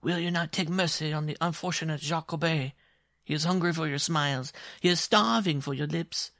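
A man voicing a proud, touchy, lovestruck circus dwarf in a story, with a shrill voice and florid, pompous speech. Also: a little fast, gravelly, pushed and bright, no echo, studio quality. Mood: pleading, lovesick.

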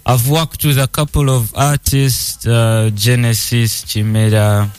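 A man from Uganda with a Ugandan accent, chatting casually as a musician guest in a laid-back, halting way. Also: mid-range voice, level tone, smooth, faint music behind. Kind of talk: casual interview chat.

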